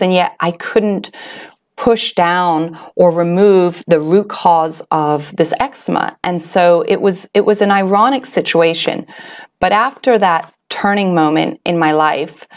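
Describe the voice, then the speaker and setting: Earnest, confiding woman telling her story. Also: on the low side. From Switzerland. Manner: earnest, confiding, with frequent fillers and restarts. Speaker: webinar host. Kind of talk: personal story told to an online audience.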